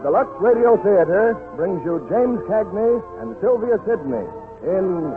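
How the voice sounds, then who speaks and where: A man's voice: high-pitched, expressive, measured pace, over music. A man announcing a 1940s radio show in a grand, formal, emphatic style. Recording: tinny vintage 1940s radio broadcast.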